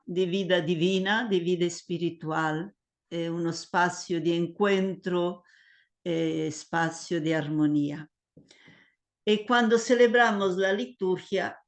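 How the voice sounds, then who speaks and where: Older woman, unhurried halting online lecturer. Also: on the low side. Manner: hesitant, many fillers and Italian words. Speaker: liturgy teacher. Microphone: video call.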